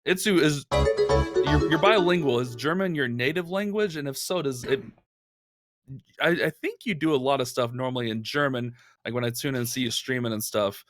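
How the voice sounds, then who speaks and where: A man's voice: mid-range voice, moderate pace, no room sound, studio quality, soft background music. A man hosting a casual gaming chat show, talking in a chatty, upbeat way with lots of fillers. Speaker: host.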